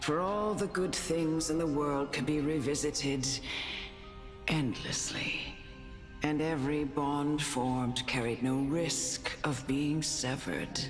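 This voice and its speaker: A serene fantasy-game noblewoman speaks in a very deep register with slow, measured phrasing. She sounds like a wise, motherly mentor. Long reverb trails her words and music plays clearly behind. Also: fairly even intonation. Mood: contemplative. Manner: measured, philosophical, formal phrasing. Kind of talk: scripted fantasy game dialogue.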